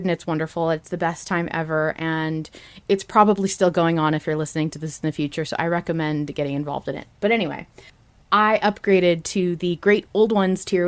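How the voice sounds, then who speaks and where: Lowish voiced lady hosting a fan podcast, chatty n into it, casual. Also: clean tone. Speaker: podcast host.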